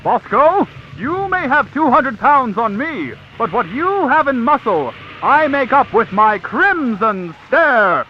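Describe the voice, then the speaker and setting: An actor playing a confident, upright masked superhero in declamatory 1940s radio serial style. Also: male voice, extremely high pitch, very animated, takes it slowly, heavily acted. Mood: cocky. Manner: declamatory, heroic, quippy. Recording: dull old-time radio sound, faint noise.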